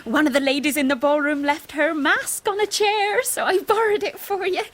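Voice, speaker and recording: A deferential young housemaid in Edwardian England. Her voice is high and English, with homely, unschooled speech and a kindly manner suited to period radio drama. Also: moderate pace, fairly bright tone. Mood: warm, admiring.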